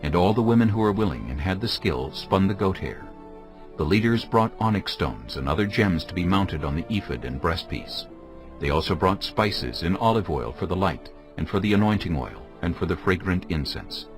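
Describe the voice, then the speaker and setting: A man's voice: low-pitched, expressive, normal speed. A man narrating a dramatized scripture audiobook from a script, as the storyteller between the characters. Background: music bed.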